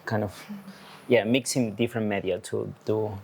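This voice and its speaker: A man from Argentina explains ideas to an audience in a slow, halting way, often stopping to search for the right word and restarting. His voice sits a little low for a man. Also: fairly even intonation, gravelly, a little mellow, a little hiss or hum. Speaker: artist with a biology background giving a talk. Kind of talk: presenting a talk to an audience.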